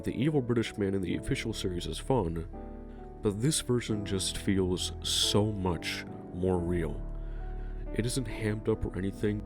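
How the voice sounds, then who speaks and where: A man narrating a ranking video in a scripted, enthusiastic way, swearing casually. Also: deep voice. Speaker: video host.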